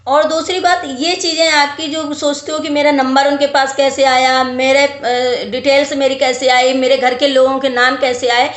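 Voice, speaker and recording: A woman's voice: high-pitched, level tone, moderate pace, few pauses. A woman vlogger talking casually to viewers and giving advice, explaining with emphatic repetition. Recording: roomy, echoey sound.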